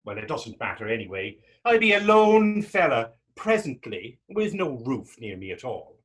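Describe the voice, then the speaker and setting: Man acting husky, teasing young Irish drifter. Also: medium pitch, big pitch swings, normal speed. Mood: defensive, wistful. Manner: teasing, lilting folksy phrasing. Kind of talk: stage readers theater dialogue.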